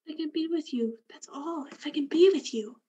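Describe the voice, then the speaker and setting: A woman playing a sweet, wistful young woman in love, speaking gently in radio drama style. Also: sits high, fairly quick, a little hiss or hum, close to an everyday voice. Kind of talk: 1940s radio drama acting.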